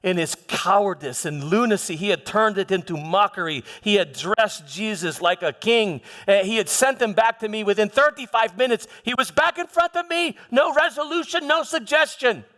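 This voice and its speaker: A proud Roman governor played by a man defends himself in a high-pitched, halting stage monologue. He is frustrated and troubled, stumbling back over his own words. The voice rings through a large hall with long reverb. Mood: exasperated, official. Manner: halting, repeats words, swings from hushed to shouting. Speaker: preacher performing a dramatized monologue. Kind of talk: live theatrical first-person monologue. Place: large church hall, live performance.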